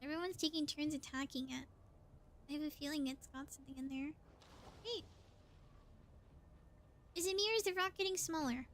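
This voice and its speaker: Woman, very high voice, playful game streamer, casual exclamatory commentary, some background noise. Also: a little fast, long pauses, fairly bright tone. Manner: playful, exclamatory, thinking aloud. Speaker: livestreamer playing a game. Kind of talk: casual live gameplay commentary.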